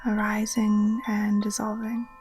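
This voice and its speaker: A woman gently guiding a relaxation meditation in a soft, breathy, near-whispered voice, soothing and unhurried. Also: medium pitch, monotone, soft background music. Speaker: yoga nidra teacher. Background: occasional chimes.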